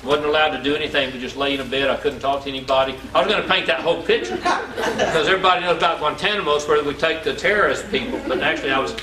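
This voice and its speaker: A man's voice: fairly high pitch, racing. A man, telling folksy personal stories to an audience in an inspirational talk, acting out the dialogue. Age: 60s. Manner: folksy, animated storyteller with repetition and acted-out dialogue. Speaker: speaker telling his life story to an audience. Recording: roomy, distant mic, faint background noise.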